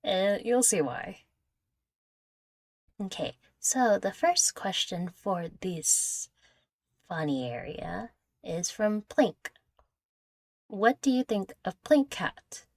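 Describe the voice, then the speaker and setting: A woman's voice: mid-range voice, animated, slow pace, pauses often, darkish tone, dry recording. A young adult woman chatting casually through viewer questions in a rambling, giggly, self-deprecating way, close to the microphone. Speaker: content creator answering viewer questions. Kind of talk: casual Q&A chat.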